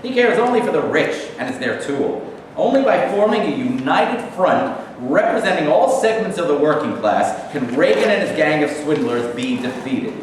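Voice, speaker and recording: A man's voice: on the high side, sing-song, moderate pace. A man playing a fervent left-wing agitator who declaims like a preacher at a staged play reading. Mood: indignant. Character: fervent left-wing agitator rallying workers against the rich. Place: staged reading in an echoey room, distant mic. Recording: echoey noisy room from far off.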